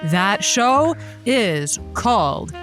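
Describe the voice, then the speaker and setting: A woman's voice: mid-range voice, very animated, extremely slow, fairly bright tone, faint music behind, heavily acted. A woman playing a flirty, encouraging late-night radio advice hostess with playful, breezy patter in a comedy audio drama. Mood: flirty upbeat.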